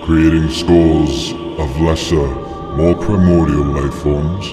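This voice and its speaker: Ancient dragon, abyssally deep. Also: male voice, fairly even intonation. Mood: solemn. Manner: solemn, ominous, deliberate storytelling. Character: ancient male dragon elder, grave and prophetic. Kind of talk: dramatic comic dub acting. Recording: long cavernous reverb under music.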